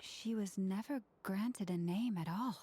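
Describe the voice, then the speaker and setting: A solemn fantasy game woman with a husky, mid-pitched voice. She speaks gravely and deliberately, with formal poise. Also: mellow. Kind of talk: video game voice acting.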